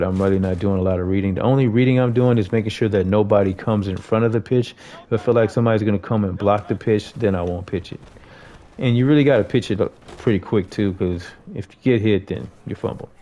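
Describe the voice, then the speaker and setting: A man's voice: fairly low pitch, dark tone. An American man giving a casual, conversational gameplay tutorial, talking informally to viewers as a host.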